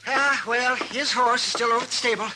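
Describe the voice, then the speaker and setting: An actor playing an excitable, crotchety older town doctor of the Old West, sputtering and folksy with an American accent, in 1950s radio western style. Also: male voice, very high voice, brisk, background noise. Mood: excited.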